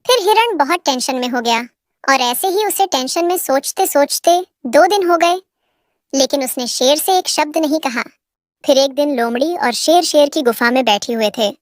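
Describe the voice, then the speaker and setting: A woman storyteller with a high voice reads a scripted tale for children. The recording is dry, with little room sound. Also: moderate pace, no room sound.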